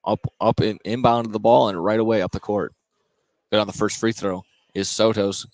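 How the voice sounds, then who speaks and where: A man doing live play-by-play basketball commentary, a little halting, often repeating and correcting himself. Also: fairly low pitch, fairly even intonation, a little mellow, low-quality recording. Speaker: play-by-play announcer. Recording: clear gym ambience, bouncing basketballs. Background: basketball game in a gym.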